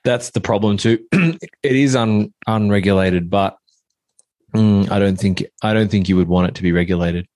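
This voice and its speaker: A man's voice: fairly low pitch, steady tone, moderate pace, darkish tone, no room sound. A man co-hosting a casual podcast chat, losing his voice, who asks short prodding questions. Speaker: podcast co-host.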